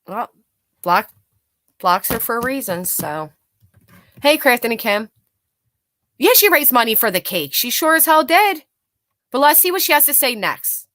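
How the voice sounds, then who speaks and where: A woman's voice: a little high, very animated, a little slow, long pauses, fairly bright tone, no echo, clean studio sound. A blunt, sarcastic woman hosting a live stream, mocking clips, chatting with viewers and swearing freely. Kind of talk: live commentary reacting to clips and reading chat.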